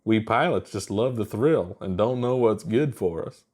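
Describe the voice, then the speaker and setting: A man's voice: fairly low pitch, animated, moderate pace, darkish tone. A man voicing a mild, earnest young alien astronaut in a plain-spoken, unbothered way for a story. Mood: self-deprecating.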